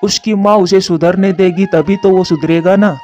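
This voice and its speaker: High, mellow, sorrowful father bird played by a man. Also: fairly even intonation, normal speed, lo-fi sound, soft background music, natural voice. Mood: bitter lament. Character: weary ageing father bird, sorrowful and disappointed in children. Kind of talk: Hindi cartoon voice acting.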